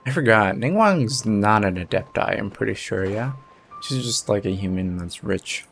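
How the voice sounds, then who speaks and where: A man's voice: fairly low pitch, lively intonation, normal speed, gravelly, a bit bright. A man casually commenting on a game as he plays, playfully echoing bits of it. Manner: echoes game dialogue playfully. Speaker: streamer playing and reacting to a game. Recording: game music behind close voice.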